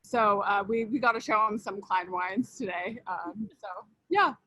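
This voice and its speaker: A young woman introduces herself casually, pausing often with fillers. Her voice is mid-pitched and sounds dry and close. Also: pushed and bright, no echo, rough recording. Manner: casual, hesitant, many fillers. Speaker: winery sales staff.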